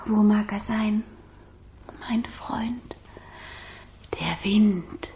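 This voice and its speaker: An actress playing a restless, suffering young fire spirit in a children's radio play, speaking in plaintive, dramatic short phrases. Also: female voice, mid-range voice, drawn-out words, warm tone. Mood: hushed, longing.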